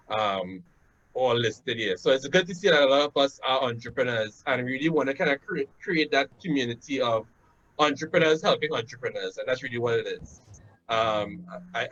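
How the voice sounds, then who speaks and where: A man's voice: mid-range voice, a bit bright. A man in his thirties with a Trinidadian accent, hosting a webinar from a room at home in a casual, conversational way, often tagging his points with "right?". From Trinidad and Tobago. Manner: conversational, frequent "right?" tags and "um" fillers. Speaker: webinar host. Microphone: laptop webcam on a video call. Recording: dull lo-fi video call audio.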